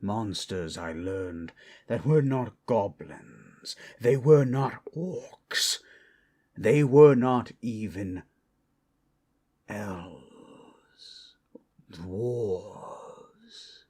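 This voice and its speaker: Guy doing an old solemn dragon for asmr roleplay, low husky voice, grave and protective, long dramatic pauses. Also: expressive, warm tone, exaggerated voice. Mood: brooding, bitter. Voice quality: husky, breathy. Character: ancient solemn male dragon, fiercely protective hoard guardian.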